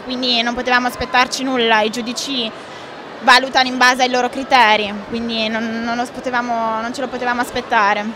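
A woman's voice: fairly high pitch, noisy background. A young woman dancer giving an interview in a large sports hall, speaking modestly and earnestly in long chained clauses into a handheld mic. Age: young adult. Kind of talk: post-event interview. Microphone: handheld mic held by interviewer. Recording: music playing loud behind.

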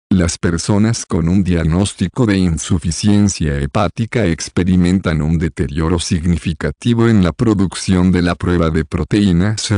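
Deep, mellow male health narrator. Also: no room sound, studio quality.

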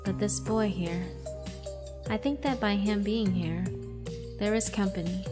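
A gentle, weary fiend woman as acted by a female narrator speaks in a visual novel. Her delivery is slow, solemn and literary, at a medium pitch, with music underneath. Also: steady tone, natural voice. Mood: weary, mournful. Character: gentle female fiend, ancient, weary, wistful, newly freed.

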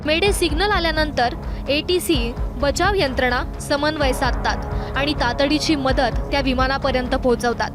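A woman reading a scripted, dramatic news report as a reporter. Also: sits high.

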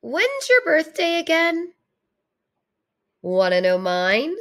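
A woman's voice: very high-pitched, wildly swooping pitch, takes it slowly, a bit bright, voice pushed up, heavily acted. A woman voicing a small, childlike baby tooth from a storybook, eager and full of questions. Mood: curious.

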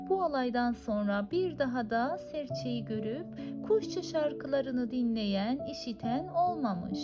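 Woman, high voice, lively swooping intonation, children's fairy tale narration, scripted reading, music underneath. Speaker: storyteller. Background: clearly audible music.

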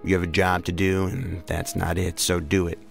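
A man playing a calm, frank federal agent in an audio drama. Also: low voice, moderate pace, creaky, faint music behind. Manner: frank, reassuring.

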